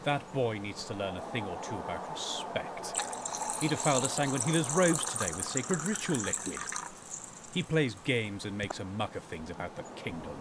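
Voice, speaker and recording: A man's voice: lowish voice, very animated, moderate pace. A man playing a stern, contemptuous king and disappointed father, speaking in a cold, pompous, formal way in an amateur fantasy audio drama. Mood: scornful. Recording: noticeable background noise.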